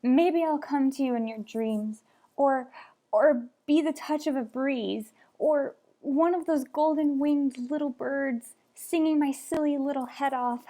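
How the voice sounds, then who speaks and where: A woman's voice: high voice, mellow. An actress playing a doomed young woman stowaway in an audio drama, tender, wistful and resigned.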